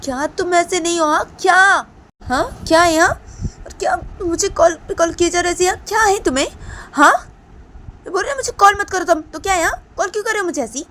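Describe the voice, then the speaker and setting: A woman voicing a furious young woman who angrily scolds a repeated caller in a shrill, shouting voice. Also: fairly quick.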